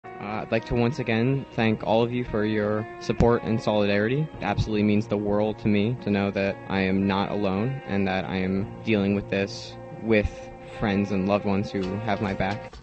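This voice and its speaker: A man's voice: lowish voice, little pitch movement, moderate pace, highs cut off, music in the background. A man giving a prepared personal statement as an activist, on a recording.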